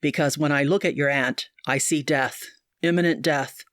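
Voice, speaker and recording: Woman reading a serious young doctor guy, low grave pleading voice, dry. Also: fairly even intonation, normal speed, a bit bright, no room sound. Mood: urgent. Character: earnest, urgent young male doctor.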